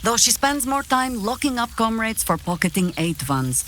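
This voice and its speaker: An actress playing a middle-aged, seasoned adventurer in a video game, gruffly fond and dryly amused, with a deliberate theatrical delivery. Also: female voice, fairly high pitch, very animated, fairly bright tone, a little hiss or hum. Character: seasoned wry woman adventurer with grown children, gruffly fond. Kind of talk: video game dialogue acting.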